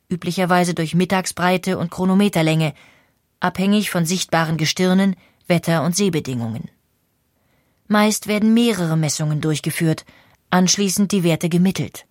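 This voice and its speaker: A woman reading scripted documentary narration in an explanatory, measured way. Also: lowish voice, no room sound, clean studio sound.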